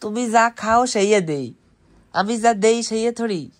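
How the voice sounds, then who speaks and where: A man's voice: sits high, measured pace. A young man with an East Bengali accent, chatting casually with family as a home vlogger.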